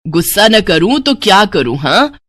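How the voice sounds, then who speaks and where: A woman voicing a strict middle-aged mother who nags and grumbles in a lowered voice. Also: very animated, unhurried, fairly bright tone, no echo. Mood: grumbling. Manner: nagging, grumbling.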